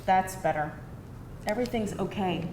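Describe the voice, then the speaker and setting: A woman's voice: medium pitch, normal speed, a little mellow, close to an everyday voice. A woman playing a worried, soothing granddaughter in a live screenplay table read. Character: worried granddaughter caring for frail grandfather, also as child. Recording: roomy, faintly noisy live stage reading.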